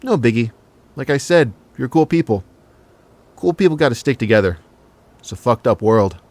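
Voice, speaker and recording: An actor playing a cheeky, big-hearted casual lover in an audio drama, with blunt, slangy, joking talk. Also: male voice, medium pitch, expressive, normal speed, a little mellow, dry recording. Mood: sincere.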